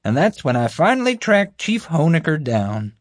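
A man voicing a bumbling, proud security guard in an audiobook, boastful, oblivious and excitable. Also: a little high, moderate pace, no room sound, studio quality, pitched above the performer's own voice. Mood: defensive. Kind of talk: audiobook character voice.

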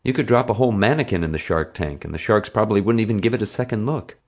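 A man's voice: lowish voice, fast talker, voice pushed up. A man voicing a smart, anxious schoolboy who explains things and gets evasive under pressure, in an audiobook story. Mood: explaining. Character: smart, anxious schoolboy, son of zoo scientist.

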